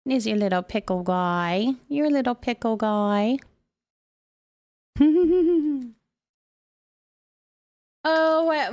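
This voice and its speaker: A woman hosting a casual live stream, reading out and answering viewer messages in an affectionate, reassuring way. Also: sits high. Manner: affectionate, addresses listeners with endearments.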